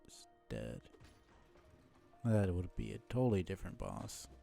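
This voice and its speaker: Young adult male streamer, lowish pitch, relaxed mellow tone, unhurried casual gameplay commentary. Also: vocal fry, soft background music. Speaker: game streamer.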